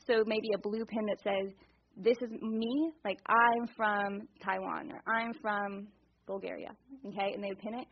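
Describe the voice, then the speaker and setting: A woman's voice: medium pitch, steady tone, moderate pace. A woman at a committee meeting, laying out her ideas with enthusiasm and many fillers, recorded in a meeting room. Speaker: committee member. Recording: dull lo-fi recording.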